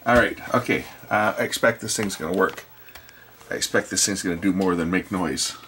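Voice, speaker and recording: A man's voice: low-pitched, a little fast. A man giving casual, hands-on repair commentary, thinking aloud and muttering to himself as he works in an indoor workshop. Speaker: electronics repairer hosting a repair video. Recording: faint steady background noise.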